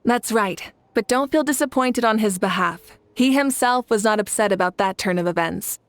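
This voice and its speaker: A woman's voice: mid-range voice, moderate pace, dry recording, close to an everyday voice. A woman playing a confident, benevolent warrior leader in an anime game, composed and encouraging. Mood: calm, admiring.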